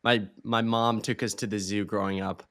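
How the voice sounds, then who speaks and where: A man hosting a podcast, asking questions and telling stories in a relaxed, rambling way. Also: lowish voice, normal speed. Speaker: podcast host. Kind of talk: casual interview.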